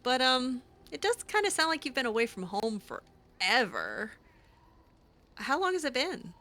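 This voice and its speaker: Girl roleplaying a shy kind mage in a video game, slow n hesitant, kinda high voice, soft music behind. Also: huge pitch swings, exaggerated voice. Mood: curious. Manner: hesitant, gentle, awkward. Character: kind, awkward young female blood mage.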